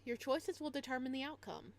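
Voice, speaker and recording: A woman with a fairly high voice hosts a casual live game stream. She reads on-screen text aloud, sometimes haltingly, and mixes in chatty comments for her viewers. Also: expressive.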